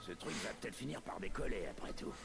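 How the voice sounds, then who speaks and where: An actor playing a gruff, grudgingly caring older mechanic boss with a gravelly voice in animated game dialogue. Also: male voice, fairly low pitch, fast, full character voice. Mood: gruff, focused. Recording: game audio under loud music.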